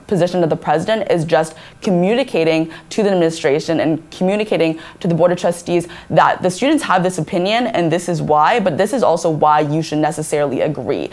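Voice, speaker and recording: A woman's voice: on the low side, a little mellow. A young woman whose first language is Chinese, answering earnestly as a candidate in a student government debate. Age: young adult. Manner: earnest, many restarts and "I think".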